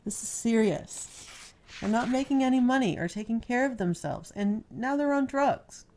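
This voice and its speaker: A woman's voice: a little high, lively intonation, moderate pace, darkish tone, a little hiss or hum, voice pushed up, natural voice. A woman reading the part of a worried, playful young wife in an audiobook novel, in an earnest and emphatic manner. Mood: earnest, insistent. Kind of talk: audiobook character dialogue.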